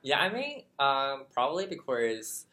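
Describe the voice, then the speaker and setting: A man from China, Chinese by first language, answers a graduate-program interview in a bright, clear voice. He speaks carefully and haltingly, filling his pauses with ums and uhs as he looks for the words. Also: mid-range voice, big pitch swings. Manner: careful, halting with many fillers. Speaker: graduate student.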